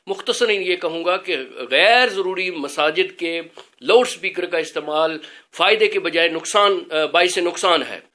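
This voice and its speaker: A man giving an informal religious talk as an educator, explaining things in an earnest, measured way. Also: sits high, bright, forceful tone.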